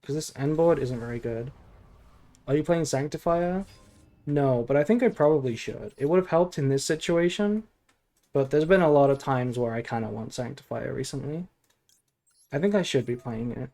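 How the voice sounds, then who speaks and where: Male streamer, mid pitch, dark soft tone, drowsy and low-key, casual commentary. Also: normal speed. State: sleepy. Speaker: game streamer. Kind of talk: casual gameplay stream chat and deck analysis.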